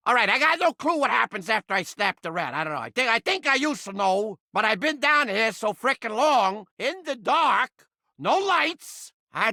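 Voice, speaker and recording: A brash, cranky talking rat trap from a comedic video game, with a thick-skinned Brooklyn street-tough manner and accent. The voice is sharp and forceful and swings wildly up and down. It is recorded close and dry, and every line is theatrical and wisecracking. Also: male voice, very high voice, dry recording, exaggerated voice. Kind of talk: exaggerated comedic video game character acting.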